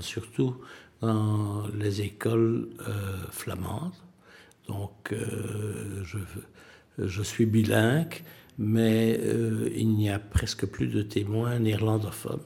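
An older man from Belgium answering interview questions, halting, with many pauses and restarts. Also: lowish voice, fairly even intonation, creaky, a little mellow. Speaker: museum president, witness.

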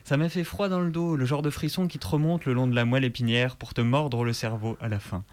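A man's voice: mid-range voice, steady tone, brisk, a little mellow. A man delivering a written first-person militant monologue in colloquial, slangy words, like a radio columnist.

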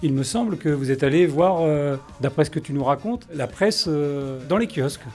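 A man's voice: fairly high pitch, normal speed, darkish tone. A man hosting a student radio show, reading mock definitions and interviewing a guest with some hesitation. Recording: music bed under the voice.

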